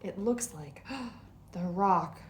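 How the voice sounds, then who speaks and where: A woman reading a storybook aloud to children, expressive and deliberate, with little asides to the listeners. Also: lowish voice, slight background noise. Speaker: children's story time reader.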